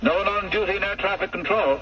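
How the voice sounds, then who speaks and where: A man's voice: high-pitched, steady tone, fast, bright, forceful tone, slightly rough, voice pushed up, heavily acted. A man voicing curt male RAF officers heard over a telephone line in a radio story, speaking in a clipped, officious way. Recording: muffled distant phone-line sound.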